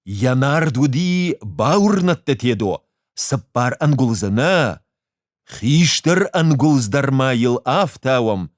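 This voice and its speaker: A man reading a short story aloud as an expressive literary narrator. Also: mid-range voice, slow, dry recording, studio quality.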